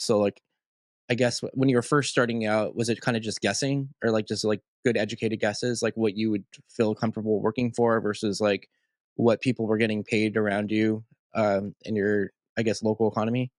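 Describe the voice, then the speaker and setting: A man's voice: on the low side, no echo, clean studio sound. A man hosting a podcast, asking long rambling questions full of "like" fillers in a casual tone. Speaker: podcast host.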